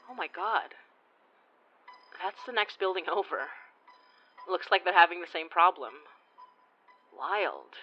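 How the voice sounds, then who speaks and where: A woman acts as a friendly but weary female investigator in a naturalistic audio drama. Her voice sits at an average pitch, and she talks casually and a little hesitantly, as in everyday conversation. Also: dull audio, lightly acted. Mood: casual, distracted.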